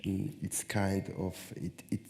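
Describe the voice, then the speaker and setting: A man in his thirties with a Syrian accent, a native Arabic speaker, reflecting haltingly as a guest in a live interview. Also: deep voice, level tone, gravelly, darkish tone. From northeast Syria. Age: 30s. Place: live stage event at a theatre.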